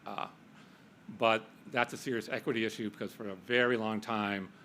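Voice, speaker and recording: A man speaking on a symposium panel, halting and repeating words, with casual asides. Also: fairly low pitch, flat delivery, sharp tone, slight background noise. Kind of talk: panel talk.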